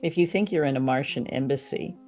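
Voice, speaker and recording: A woman's voice: very deep voice, brisk, darkish tone. A woman co-hosting a radio drama show, chatting casually with dry, understated humour.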